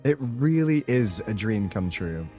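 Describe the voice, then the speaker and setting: A man's voice: fairly low pitch, very animated, measured pace, dark tone, soft background music. A man chatting casually as an interview guest, playful and a touch theatrical in how he puts things.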